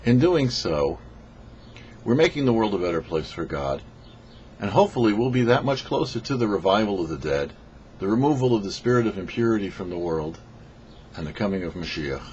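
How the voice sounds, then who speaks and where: A man reading a scripted religious teaching as a rabbi and show host, phrasing deliberately and emphatically with pauses. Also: lowish voice, sing-song, darkish tone. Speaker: rabbi, host of a weekly Torah portion show. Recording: dull lo-fi audio with faint noise.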